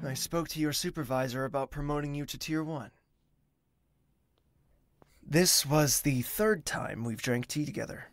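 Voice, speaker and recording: An actor playing a gentle, reserved young prince in an audio drama, courteous and measured, with formal wording and a self-doubting streak. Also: male voice, on the high side, faint music behind. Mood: composed, courteous. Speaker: character actor and first-person narrator. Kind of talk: scripted audio drama with inner monologue.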